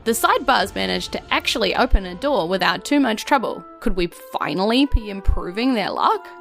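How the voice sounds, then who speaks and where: An excitable, playful woman with a New Zealand accent, hosting and narrating a tabletop game podcast in a theatrical, chatty way. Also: mid-range voice, fairly quick, gravelly, faint music behind. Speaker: podcast host and game master.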